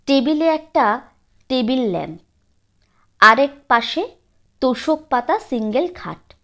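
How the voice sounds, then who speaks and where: Female narrator, higher register, slow measured delivery for literary audio fiction. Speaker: story narrator.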